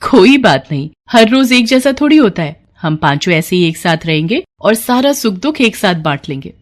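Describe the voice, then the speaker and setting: A woman voicing a gentle, worried young eldest sister in a storybook tale, earnest and plaintive. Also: mid-range voice, big pitch swings, moderate pace, natural voice.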